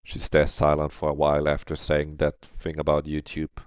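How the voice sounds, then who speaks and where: A man's voice: very deep voice, monotone, normal speed, clean tone, no room sound, studio quality. A man reading a visual novel aloud on a livestream, with casual, joking, sweary asides.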